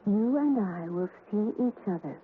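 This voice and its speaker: An actress playing a sea captain's fearful young wife in an earnest, melodramatic 1970s radio drama. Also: female voice, mid-range voice, animated, measured pace, a little hiss or hum, natural voice. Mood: grave warning. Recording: muffled old radio recording with music.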